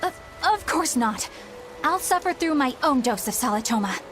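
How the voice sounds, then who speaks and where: High, prim young warrior woman. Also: animated, normal speed, fairly bright tone, soft background music. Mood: prim, defensive. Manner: prim, formal, earnest. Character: proper, dutiful, stubborn young woman warrior. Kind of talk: English video game voice acting.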